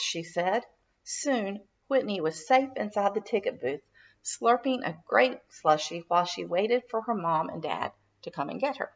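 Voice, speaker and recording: A woman narrating a children's storybook in an expressive, dramatic read-aloud style for young listeners. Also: medium pitch, vocal fry, slightly rough, dull audio. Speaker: children's minister reading a storybook.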